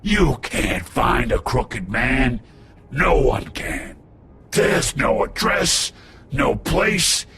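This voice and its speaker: A man's voice: medium pitch, sing-song, drawn-out words, creaky, sharp tone, slight background noise, exaggerated voice. An actor playing a brash, foul-mouthed male thug who sneers and taunts in a video game. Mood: pained, defiant. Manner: taunting, swearing, jeering.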